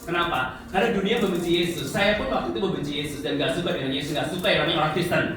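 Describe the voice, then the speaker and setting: Man, evangelist preaching, slightly high pitch, heavy echo, conversational testimony, rhetorical questions. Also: very fast, noisy background. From Central Java, Indonesia. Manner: conversational, asks the congregation rhetorical questions and tag questions. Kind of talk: sermon with personal testimony. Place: large echoey room before a congregation. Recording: echoey hall, distant mic, audience present.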